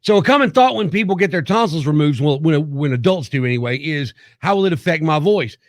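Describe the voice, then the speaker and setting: A man hosting a morning radio show, bantering and laughing easily between news bits. His voice sits a little high. The studio sound is dry and close. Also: animated, brisk, no echo. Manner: joking, laughs often, reads news stories aloud. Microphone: studio microphone.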